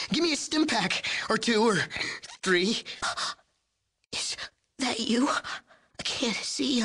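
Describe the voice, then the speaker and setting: An actor playing a sleazy, cocky young drug chemist in a video game, with a nasal, whiny voice and smug, sarcastic, slangy delivery. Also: male voice, mid-range voice, a bit bright. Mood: whining in pain. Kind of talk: video game character dialogue.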